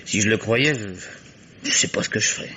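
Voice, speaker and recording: A man's voice: fairly low pitch, moderate pace, bright, forceful tone, low-quality recording. An actor playing an anxious, loyal man wrongly accused and on the run in an old French radio mystery, hesitant and troubled. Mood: shaken. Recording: hissy old radio recording.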